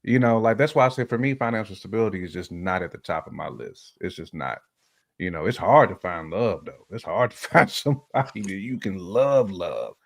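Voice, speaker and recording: A man's voice: low voice, animated, a little fast, vocal fry. An American man chatting casually on a relationship podcast, using slang and repeating phrases for emphasis.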